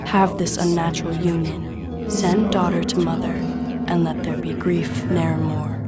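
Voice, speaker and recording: An actress as the devil's own daughter, a proud and cold demoness in an audio drama. Her voice sits low and her diction is formal and solemn, with music playing clearly behind her. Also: steady tone, measured pace, natural voice. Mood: bitter chanting.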